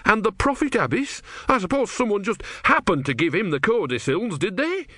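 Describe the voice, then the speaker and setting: A man's voice: a little high, very animated, normal speed, a bit bright, no echo, studio quality, pitched above the performer's own voice. A man voicing an earnest, devout young believer who is insistent and easily outraged, in a storybook reading. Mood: shocked, angry. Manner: insistent, indignant, pious.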